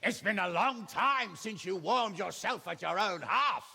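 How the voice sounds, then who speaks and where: Casting: commanding knight for cinematic game, gravelly and forceful, formal, declamatory projection. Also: male voice, high voice, lively intonation, unhurried, long reverb tail, full character voice. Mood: firm, formal. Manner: declamatory, shouting across a distance, formal. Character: commanding male noble knight negotiating a siege. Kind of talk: cinematic video game acting.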